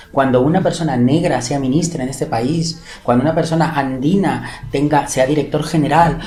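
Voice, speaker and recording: A man's voice: a little high, a little mellow. A man from Peru, a guest in a conversational interview, speaking earnestly and emphatically in long, building lists. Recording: echoey room.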